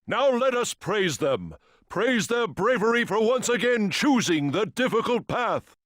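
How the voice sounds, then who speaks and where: An actor playing a grandiose tournament announcer who proclaims a crowning ceremony in a booming, theatrical manner. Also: male voice, very animated, measured pace, fairly bright tone, no echo, clean studio sound, full character voice. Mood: proclaiming.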